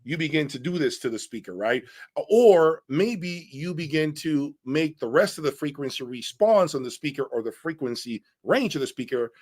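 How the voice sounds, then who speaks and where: A man's voice: on the high side, expressive, normal speed, darkish tone. Blunt, emphatic male audio reviewer. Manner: blunt, emphatic, often checks with "okay?" and "right?". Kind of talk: informal opinionated product breakdown.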